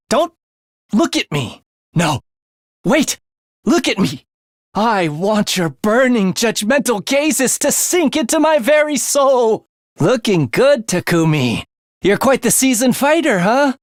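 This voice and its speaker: A man's voice: sits high, no room sound, studio quality. An actor playing a playful, morbid young male assassin in a video game, with breezy, teasing speech that turns theatrical. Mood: flustered, thrilled. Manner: breezy, teasing slang turning breathy and theatrical. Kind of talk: English video game voice acting.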